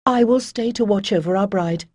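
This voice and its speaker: A woman's voice: medium pitch, moderate pace, a little mellow, no echo, pitched above the performer's own voice, close to an everyday voice. A woman voicing an intense young priestess who pleads urgently and sometimes drops to a whisper. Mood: calm.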